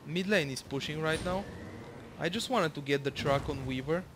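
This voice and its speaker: A man's voice: a little high, moderate pace, background noise. A young man from Bulgaria with a Bulgarian accent, casually commentating his own match, rambling with lots of fillers and the odd swear.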